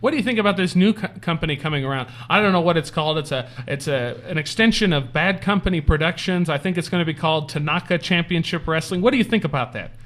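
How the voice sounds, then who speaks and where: A man with a high-pitched voice hosting a casual interview, teasing and joking with his guest. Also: fast talker, few pauses, a bit bright. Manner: playful, teasing, jokey. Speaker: talk show host.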